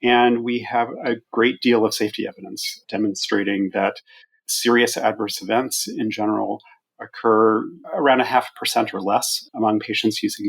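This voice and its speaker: A man's voice: on the low side, creaky, breathy edge, rough recording. A middle-aged American man speaking as an expert physician guest, explaining evidence in an interview.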